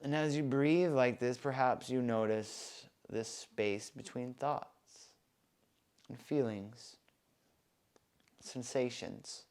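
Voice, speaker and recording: A man guides a breathing meditation in an even, mid-range voice. He talks very slowly and leaves long gaps between words. His tone stays soft and soothing throughout. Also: mid-range voice, smooth. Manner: slow, soft, calm and soothing guidance. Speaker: breathwork instructor.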